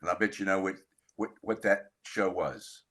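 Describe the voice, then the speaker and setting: Interviewer, male, older. Lowish, punchy voice, storytelling delivery with deliberate pauses. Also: fairly bright tone.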